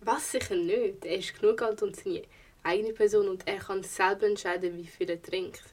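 A woman's voice: medium pitch, steady tone, moderate pace, natural voice. A young woman playing a reassuring, plain-spoken friend in an audio drama. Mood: frank, supportive. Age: young adult. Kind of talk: amateur audio drama dialogue. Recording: faint ambient noise behind.

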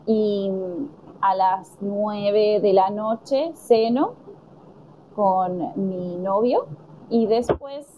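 Slow, careful Argentine woman. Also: mid-range voice, lo-fi sound, a little hiss or hum. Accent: Argentine Spanish. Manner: deliberate, with pauses and fillers. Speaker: interviewee. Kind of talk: slow, careful conversation answers.

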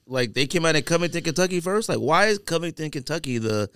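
A man's voice: on the high side, animated, quick pace, fairly bright tone, clear, resonant tone, no echo, studio quality. A man co-hosting a podcast in casual, slangy banter. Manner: informal, slangy talk. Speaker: podcast co-host.

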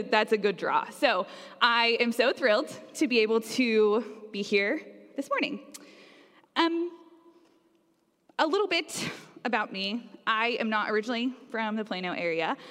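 A woman's voice: fairly high pitch, a bit bright. A young woman from Oklahoma, giving a friendly, conversational sermon with frequent fillers. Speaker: preacher. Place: church sanctuary. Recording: long church reverb.

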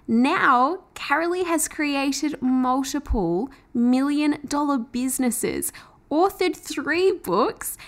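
A woman hosting a podcast, talking in an enthusiastic, chatty way as she promotes her offer and welcomes a guest. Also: a little high. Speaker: podcast host. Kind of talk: upbeat podcast intro and interview.

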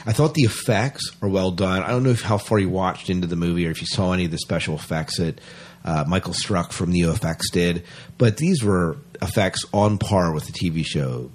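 Male podcast host, low husky register, conversational and emphatic, informal review chat.

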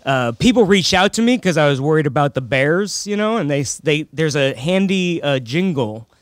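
A man's voice: on the high side, animated, normal speed, no room sound. A man chatting casually as a comedian guest on a talk show, slipping jokes into conversation. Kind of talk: casual banter with stand-up jokes.

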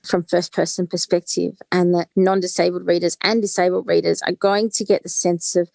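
A woman's voice: lowish voice, level tone, normal speed, gravelly, a little mellow, dry recording. A woman, a guest author on a podcast, answering in an earnest, fluent way with casual fillers. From Australia.

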